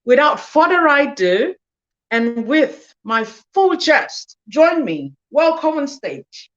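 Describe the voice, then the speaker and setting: Playful female show host, high-ish voice, dull lo-fi stream audio. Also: big pitch swings, takes it slowly. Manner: playful, teasing, laughs often. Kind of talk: lively hosted interview.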